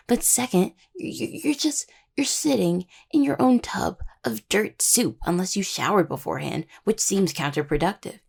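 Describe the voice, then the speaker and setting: Husky, gravelly young necromancer woman, acted. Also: lowish voice, a little mellow, heavily acted. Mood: indignant, playful. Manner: halting, casual, teasing. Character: affectionate, playfully stubborn young necromancer healer, a devoted partner. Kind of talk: intimate roleplay audio, one-sided dialogue to listener.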